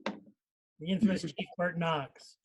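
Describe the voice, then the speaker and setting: A man's voice: a little high, fairly even intonation, slow, low-quality recording. A man hosting a casual group live stream over a video call. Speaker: host of a cast live stream.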